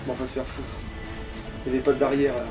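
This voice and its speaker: A man's voice: mid-range voice, flat delivery, fast, distant mic, over music. A man giving casual, informal commentary while he plays a video game, often muttering to himself and swearing. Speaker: let's player playing the game.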